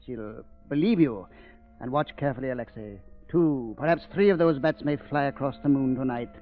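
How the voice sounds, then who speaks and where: An actor playing a sinister, coldly mocking villain of a doctor in a deliberate, menacing manner, in 1930s radio thriller style. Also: male voice, medium pitch, faint music behind, close to an everyday voice. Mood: mocking, commanding. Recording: muffled, dull 1930s radio recording.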